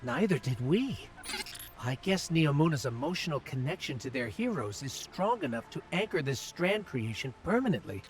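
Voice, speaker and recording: An earnest little robot companion in a video game. Its voice runs a bit high and swings widely in pitch. It sounds concerned and sincere. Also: male voice, sing-song, normal speed, faint music behind.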